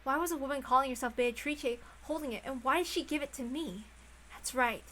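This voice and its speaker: A woman's voice: high-pitched, brisk, bright, forceful tone. A woman voicing a tense, anxious and secretive mother, hesitant and self-questioning, in a visual novel read aloud. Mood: anxious, calculating. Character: anxious, secretive adult mother, quick to scold.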